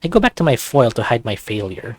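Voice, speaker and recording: A man's voice: mid-range voice, sing-song, brisk, no room sound. A man narrating a visual novel and chatting casually as the host of a read-aloud channel.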